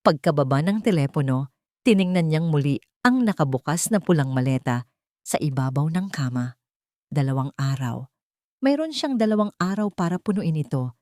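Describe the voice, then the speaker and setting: A woman narrating a scripted dramatic story in a measured, solemn way. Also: low-pitched, lively intonation, darkish tone, no echo, studio quality.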